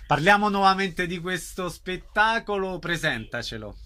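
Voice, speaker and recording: A man's voice: high-pitched, lively intonation, moderate pace, sharp tone. A man hosting a radio arts programme, presenting and interviewing a guest.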